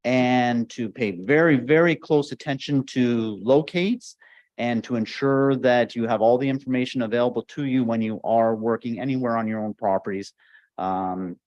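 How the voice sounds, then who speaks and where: A man's voice: mid-range voice, a little mellow, dry recording, dull audio. A man, apparently the fire chief, reporting to council in an earnest, upbeat way and often repeating phrases. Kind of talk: staff report at council meeting. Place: council chamber.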